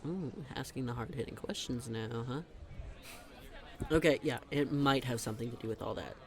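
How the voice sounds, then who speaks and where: A man's voice: mid-range voice, moderate pace, crowd noise, close to an everyday voice. A young man playing a teasing, chatty best friend in a conversational audio roleplay, talking in a casual, emphatic way. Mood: weary, annoyed. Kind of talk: conversational scripted roleplay monologue.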